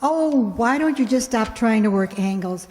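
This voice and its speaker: A woman plays a sensible, wry young roommate in a radio comedy. Her high voice is lively and melodic. It echoes in a big hall. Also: moderate pace, lightly acted. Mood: coaxing. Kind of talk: old-time radio comedy acting.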